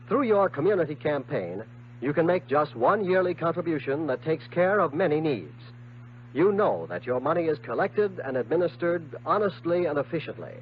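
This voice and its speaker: Animated American male radio announcer. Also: fairly high pitch. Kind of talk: 1950s radio announcing, scripted. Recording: muffled, noisy 1950s radio recording.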